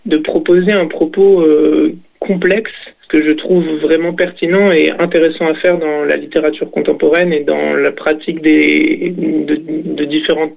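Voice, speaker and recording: A young man, a poet, answering interview questions thoughtfully, with many pauses and restarts. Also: fairly high pitch, little pitch movement, creaky. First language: French. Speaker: poet and author. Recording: tinny phone-like radio sound.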